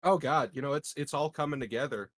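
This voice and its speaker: A man's voice: medium pitch, quick pace. A man playing a tabletop roleplaying game, chatting and improvising jokes, often repeating words as he stammers. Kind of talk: casual joking improv chat.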